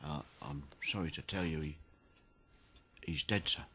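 An actor playing a timid, deferential clerk in a radio drama, stammering, hesitant and apologetic. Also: male voice, a bass voice, measured pace, a bit bright, husky, exaggerated voice. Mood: nervous, apologetic. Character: timid, deferential male clerk, nervous before his master.

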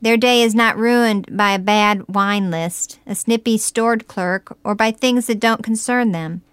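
Clear, smooth woman reading a reflective essay. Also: mid-range voice, unhurried, no room sound. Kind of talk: scripted spoken essay, folksy reflective narration.

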